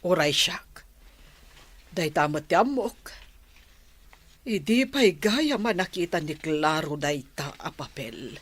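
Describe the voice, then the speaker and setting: An actress playing an old grandmother in a radio drama, speaking slowly and heavily. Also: low-pitched, animated, breathy edge, exaggerated voice. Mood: sorrowful. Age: older. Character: old grandmother, grave and sorrowful.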